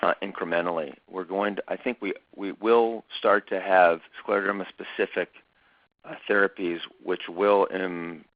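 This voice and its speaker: A man hosting a conversation as foundation chairman, speaking in a measured, hesitant way with many fillers. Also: low-pitched, dry recording. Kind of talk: conversational webinar talk. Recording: dull lo-fi dry recording.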